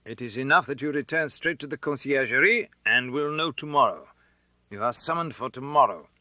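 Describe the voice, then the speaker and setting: A rough soldier barking out an arrest in a loud, commanding voice, as a male narrator plays him. The tone is bright and forceful. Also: medium pitch, a little fast, no echo.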